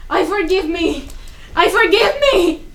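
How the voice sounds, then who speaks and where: A woman's voice: very high-pitched, unhurried, fairly bright tone. A young woman acting a desperate, anguished woman in a dramatic stage reading. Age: young adult. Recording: distant mic, faint noise.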